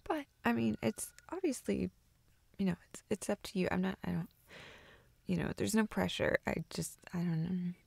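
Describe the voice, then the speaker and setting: A woman's voice: medium pitch, normal speed, creaky, a little mellow, no room sound. A woman playing a playful, nervous young woman in an intimate romantic audio roleplay, hesitant and stammering, with a teasing, giggly edge. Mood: shy, hopeful. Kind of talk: intimate romantic audio roleplay, naturalistic improvised-sounding dialogue.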